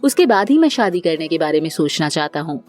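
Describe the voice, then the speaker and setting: A woman's voice: deep voice, very animated, brisk, darkish tone, faint music behind, pitched below the performer's own voice. A woman voicing an evasive young office man, a placating, defensive boyfriend in a cartoon family drama. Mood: casual, evasive.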